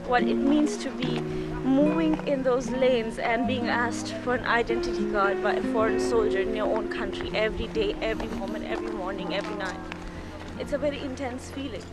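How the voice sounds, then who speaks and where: A woman's voice: on the high side, fairly even intonation, brisk. A woman giving an intense, earnest interview outdoors. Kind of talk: documentary interview. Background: loud music.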